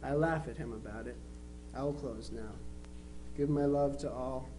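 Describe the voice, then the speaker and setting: A man playing a plainspoken twenty-three-year-old Union soldier, reading his affectionate letters home in an unhurried, matter-of-fact way. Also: mid-range voice, mellow, soft background music, lightly acted. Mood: fond, conversational. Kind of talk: dramatized reading of historical letters. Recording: faintly noisy live recording with hum.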